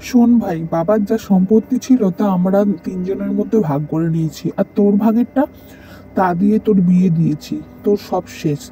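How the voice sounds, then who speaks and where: An actor plays a scheming elder brother in an animated folk tale. The voice is dark in tone, with a curt, commanding manner. Music sits faintly behind it. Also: medium pitch, a little fast, close to an everyday voice, rough recording. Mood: harsh, dismissive. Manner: bossy, commanding. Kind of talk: animated moral story voice acting.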